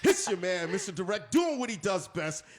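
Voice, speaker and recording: Animated man bantering on a talk show. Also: high voice, normal speed, faint music behind. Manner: animated, profane, teasing. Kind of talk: casual bantering chat.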